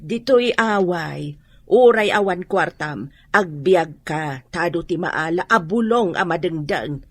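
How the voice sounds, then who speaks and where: A woman's voice: lowish voice, lively intonation, takes it slowly, husky, no echo, heavily acted. A woman with an Ilocano accent playing a worried, scolding middle-aged rural mother in a radio drama.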